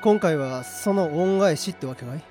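A man acting a gruff but kind-hearted prison guard in an amateur radio drama, with blunt, slangy talk. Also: a little high, lively intonation, moderate pace, dark tone, faint music behind, close to an everyday voice. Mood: curious, casual.

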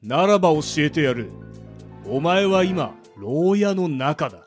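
Man acting a stern, animated prison guard. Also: fairly high pitch, measured pace, faint music behind. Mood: gruff, commanding. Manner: scolding, commanding, old-fashioned bossy speech. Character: stern, gruff middle-aged male prison guard. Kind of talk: anime-style amateur radio drama acting.

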